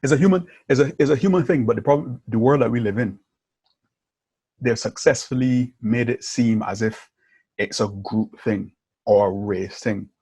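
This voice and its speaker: A man's voice: mid-range voice, moderate pace, mellow, breathy edge, no room sound. A man who grew up in Barbados, hosting a podcast in a casual conversation, telling stories animatedly and often checking in with "yeah?" and "right?". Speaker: podcast host.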